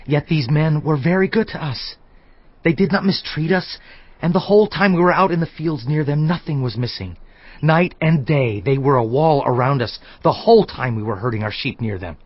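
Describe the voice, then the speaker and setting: An actor playing an anxious, loyal servant in a dramatized scripture reading, with an earnest, urgent, deferential delivery. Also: male voice, a little high, lively intonation, moderate pace, no echo, lo-fi sound. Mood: worried, urgent.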